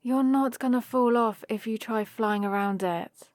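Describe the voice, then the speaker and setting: A woman plays a young adventuring heroine in an ASMR roleplay. She speaks slowly and intimately, close and dry on the mic, with a fond, playful, teasing manner toward her companion. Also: a little high, darkish tone, no room sound, natural voice. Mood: explaining, amused. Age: young adult. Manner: teasing, unhurried, intimate one-sided conversation. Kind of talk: ASMR roleplay acting, addressed to the listener. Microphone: close studio-style microphone, dry.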